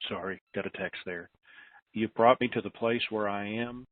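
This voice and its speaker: A man hosting a conference call, leading an informal prayer in short, halting, earnest phrases. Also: fairly low pitch, steady tone, no room sound. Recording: muffled, band-limited conference call line.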